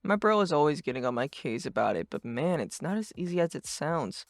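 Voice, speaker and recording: A performer voicing a brash, sarcastic, too-cool teenage boy who rants and swears with deadpan cool in a fan-dubbed comic. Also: mid-range voice, a little fast, no echo, clean studio sound. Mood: cool, nonchalant. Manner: foul-mouthed, ranting, deadpan cool. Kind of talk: fandub of a webcomic chat. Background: music.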